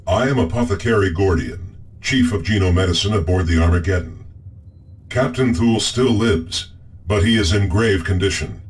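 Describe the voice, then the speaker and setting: Game medic role, male actor: low-voiced, clinical, grave space marine, slightly off-mic with faint ambient noise. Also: recorded from a distance, lightly acted. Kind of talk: video game cutscene acting.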